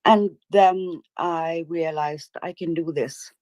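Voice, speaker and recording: A middle-aged woman from northern Sweden speaks English with a Swedish accent, slowly and carefully as a second language. Her voice is low for a woman and very unhurried, with hesitant pauses. The sound is dry and close, with no room echo. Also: a little mellow. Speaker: caller. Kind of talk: casual chat.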